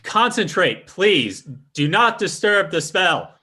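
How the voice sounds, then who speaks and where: A man playing a solemn, theatrical spirit medium in old-time radio style, speaking in deliberate incantations. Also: high-pitched, big pitch swings, pushed and bright, breathy edge, full character voice. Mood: tense, insistent. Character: solemn, mystical male séance medium, theatrical.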